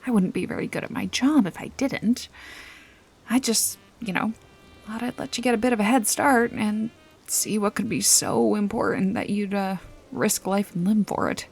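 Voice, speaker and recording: A woman playing a wry, bossy detective-bodyguard in an intimate noir ASMR roleplay, with a teasing, casually threatening manner and a breathy voice that often drops toward a whisper. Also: medium pitch, expressive, normal speed, darkish tone. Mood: wry, amused.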